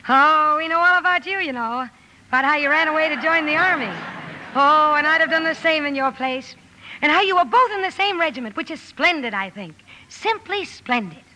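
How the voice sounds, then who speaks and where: Bright, high-voiced tomboy girl. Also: animated, big-room sound, rough recording. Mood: eager, flustered. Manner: brisk, gushing exclamations. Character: exuberant, blunt tomboyish teenage girl, warm-hearted elder sister. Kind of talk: 1940s radio drama acting. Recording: hissy old 1950 radio broadcast.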